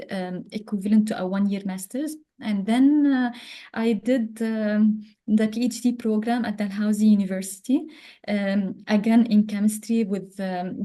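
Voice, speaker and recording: A woman from Lebanon, a chemistry professor, giving a webinar talk over a video call, pausing often with ums and uhs. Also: mid-range voice, dark tone. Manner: hesitant, many ums and uhs. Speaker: guest speaker, chemistry professor.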